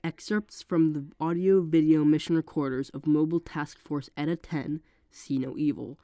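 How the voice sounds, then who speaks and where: A narrator getting over a cold, sounding congested, reading a horror case file in a steady, measured way. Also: mid-range voice, no room sound, studio quality.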